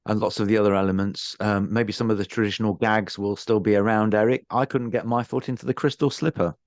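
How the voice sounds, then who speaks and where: A man's voice: fairly low pitch, steady tone, fast talker, dry recording. A man hosting a podcast and putting questions to guests in a conversational way. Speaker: podcast host and interviewer.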